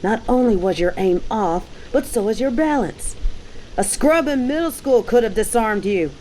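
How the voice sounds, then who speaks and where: A woman's voice: on the high side, expressive, normal speed, a little mellow, a little hiss or hum, close to an everyday voice, poor audio. A woman playing a cocky, dominant bounty hunter in an audio roleplay, with a mocking, taunting, slangy delivery. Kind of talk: scripted roleplay audio drama.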